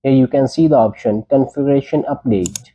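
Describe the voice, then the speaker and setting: Guy doing a step by step tech tutorial, really dark mellow voice, mid pitch, short plain instructions. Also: fairly quick, husky, lo-fi sound.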